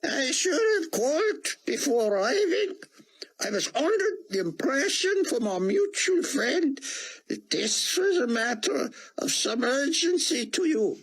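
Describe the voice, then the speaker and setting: A man voicing a formal, halting male rabbi scholar with a thick Hebrew accent. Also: extremely high pitch, big pitch swings, voice pushed up, full character voice, poor audio. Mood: apologetic.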